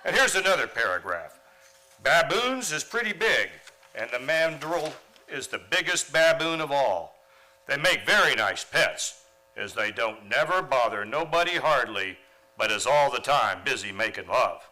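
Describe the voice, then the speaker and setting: A man's voice: mid-range voice, sing-song, a little slow, pushed and bright, heavily acted, poor audio. A man playing an earnest, mild-mannered biology teacher in an old-time radio comedy. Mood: earnest, dismayed.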